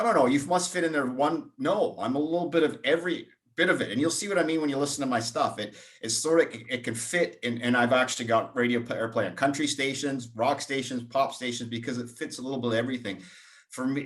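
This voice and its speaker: Chatty mid-pitched male musician. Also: fairly bright tone. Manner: chatty, rambling storyteller. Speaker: guest musician. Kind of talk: casual chat.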